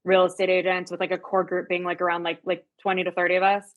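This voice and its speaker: Chatty woman with a lowish voice. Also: monotone, quick pace, narrow, tinny audio. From United States and Germany. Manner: chatty, emphatic, repeats words for stress. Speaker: real estate agent, podcast guest. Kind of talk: casual conversational interview.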